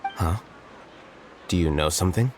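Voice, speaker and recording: A man playing a composed, analytical scholar in a video game, explaining things in a measured, precise, matter-of-fact way. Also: low-pitched, darkish tone, soft background music. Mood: brisk.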